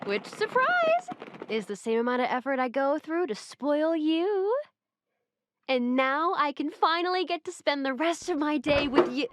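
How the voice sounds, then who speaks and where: A woman performs an obsessive yandere girlfriend in an ASMR roleplay drama. Her voice sits fairly high, sweet and doting yet menacing, sometimes sinking toward a whisper. There is a noticeable hum and noise behind her. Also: big pitch swings, unhurried. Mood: sweetly doting. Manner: doting endearments laced with threats, sighing, dropping into whispers.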